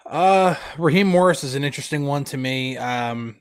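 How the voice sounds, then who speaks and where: A man's voice: mid-range voice, normal speed, clear, resonant tone. Easygoing male podcast co-host. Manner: agreeable, easygoing, analytical. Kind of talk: live podcast chat and football analysis.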